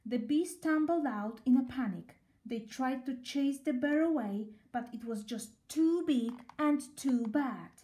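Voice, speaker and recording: A woman reading a children's story aloud as an expressive storyteller. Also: high-pitched, measured pace, hardly any pauses.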